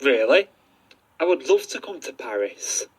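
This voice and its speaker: An adventurous archaeology professor played by a man speaks at a medium pitch. He is an earnest, lovestruck suspect in an amateur audio mystery. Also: very animated, a little slow, breathy edge. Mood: smitten. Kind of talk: amateur audio drama acting.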